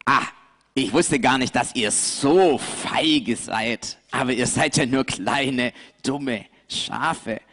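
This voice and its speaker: A man voicing a mocking billy goat who drawls his taunts slowly. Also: medium pitch, fairly bright tone, in a large hall.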